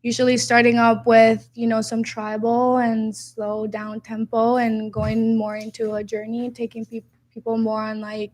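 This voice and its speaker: A woman's voice: a little high, monotone, measured pace, hardly any pauses, darkish tone. A young woman from Honduras, a DJ and producer guest, talking casually and hesitantly with many fillers. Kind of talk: casual interview chat.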